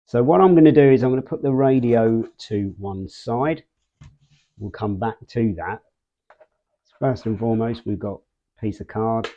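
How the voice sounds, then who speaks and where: A man presents a product walkthrough at an unhurried pace, in a middling pitch. He breaks his sentences into short, measured phrases with frequent pauses. The sound is narrow and muffled, like a phone line. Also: warm tone. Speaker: video host, product reviewer. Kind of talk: casual unboxing and product walkthrough.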